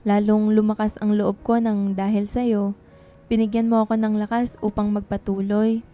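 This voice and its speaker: A woman's voice: medium pitch, flat delivery, moderate pace, warm tone, lightly acted. A woman playing an earnest, tender young woman writer in love, in a radio drama. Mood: fond, musing.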